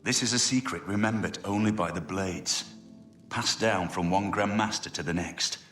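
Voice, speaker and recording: An actor playing an earnest, learned scholar in a fantasy video game, thoughtful and articulate, in an echoey space. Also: male voice, deep voice, moderate pace, fairly bright tone, soft background music. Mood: earnest, reflective. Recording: echoey room sound.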